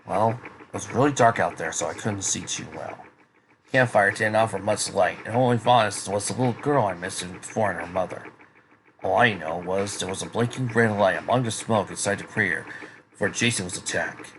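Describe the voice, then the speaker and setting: Man acting a low, earnest male tribesman. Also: a little fast, background noise, close to an everyday voice. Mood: sober, regretful. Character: polite, concerned male tribesman recalling an attack. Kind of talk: amateur fan fiction voice acting.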